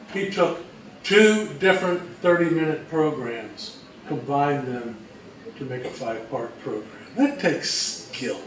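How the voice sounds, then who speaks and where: A man giving an informal explanatory presentation to a room, speaking emphatically in short, measured phrases. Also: a little high. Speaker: co-presenter at an old-time radio convention talk. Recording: echoey, distant, faintly noisy live recording.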